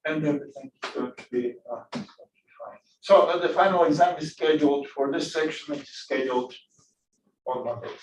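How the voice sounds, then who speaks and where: A man's voice: fairly high pitch, a little hiss or hum. Man lecturing in an echoey classroom. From Bulgaria. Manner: halting, many fillers and restarts. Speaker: physics teacher. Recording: echoey classroom, distant mic.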